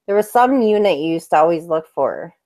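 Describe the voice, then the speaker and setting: A woman's voice: on the low side, lively intonation, darkish tone. Chatty, laughing woman co-host. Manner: chatty, laughs often, quick bursts. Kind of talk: casual livestream chat.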